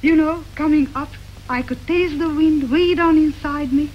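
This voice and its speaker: An actress playing a chatty, hopeful young waitress arriving as a bride, talking in a folksy, ungrammatical way, in early 1930s film acting. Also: female voice, sits high, moderate pace, lo-fi sound, lightly acted. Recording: hissy early sound film.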